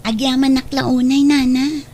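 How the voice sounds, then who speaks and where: A woman's voice: sits high, flat delivery, measured pace, darkish tone. A woman playing a meek, apologetic young student in an audio drama, with an Ilocano accent and a deferential manner. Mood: polite, deferential. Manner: pleading, deferential.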